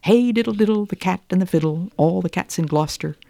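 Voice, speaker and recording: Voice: mid-range voice, fast talker, vocal fry, mellow, dry recording, clean studio sound, pitched above the performer's own voice, natural voice. A storyteller voicing a hungry pet cat who mews and complains in a raised, plaintive voice. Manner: mewing, plaintive.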